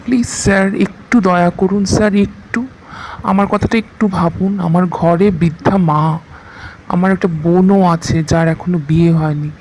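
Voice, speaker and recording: A man's voice: high-pitched, steady tone, normal speed, darkish tone, a little hiss or hum, close to an everyday voice, low-quality recording. A man playing a desperate, humble job seeker in a cartoon story, pleading and deferential.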